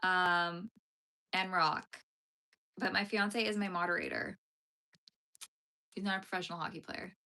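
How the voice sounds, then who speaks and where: A woman's voice: lowish voice, fairly even intonation, a little slow, long pauses, pushed and bright. A young woman chatting casually on a live stream as she crochets and reads her chat aloud, laughing often and using lots of fillers. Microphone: phone streaming vertically.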